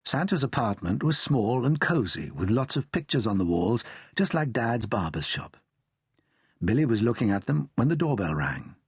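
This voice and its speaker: Lively, slightly husky British male storyteller. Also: medium pitch, normal speed. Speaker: audiobook narrator. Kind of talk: expressive scripted children's story narration.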